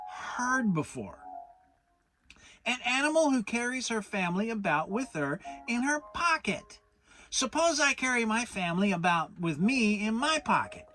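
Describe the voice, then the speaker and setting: A bossy storybook rabbit, acted out by a man, high and loud. He is self-important and easily exasperated, spelling out his points with careful emphasis and big swoops of pitch. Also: exaggerated voice. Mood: indignant, emphatic. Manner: emphatic, slow and careful explaining.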